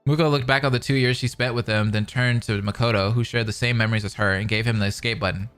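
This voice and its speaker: A man's voice: mid-range voice, steady tone, fast, a bit bright. A man doing casual, slangy, enthusiastic live commentary, reading narration aloud and reacting as a streamer. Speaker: streamer reacting to and reading a fan-dubbed visual novel. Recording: music behind the voice. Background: music.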